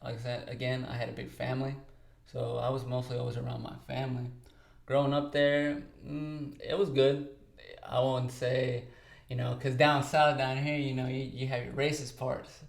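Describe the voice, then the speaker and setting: A talkative young man from Texas chats easily in an interview, with plenty of filler words. His voice has a gravelly edge and sits at a middle pitch. The sound carries a roomy echo. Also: flat delivery, moderate pace. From Texas, United States. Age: 20s. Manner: talkative, easygoing, many fillers. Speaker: podcast co-host and guest. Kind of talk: casual interview chat.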